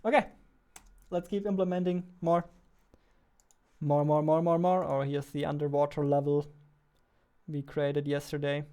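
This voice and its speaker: Clear, higher-voiced young man, chatting playfully. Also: flat delivery, measured pace, long pauses, warm tone. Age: 20s. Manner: playful, joking. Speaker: game developer streaming. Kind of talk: casual livestream chat while working. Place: indoors, small home room. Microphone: studio microphone on boom arm, headphones.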